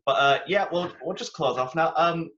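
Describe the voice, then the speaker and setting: A man's voice: a little high, normal speed, fairly bright tone. A man hosting a podcast in casual, polite and somewhat hesitant conversation. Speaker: podcast host. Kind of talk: casual chat. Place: video call. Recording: slightly dull online call audio.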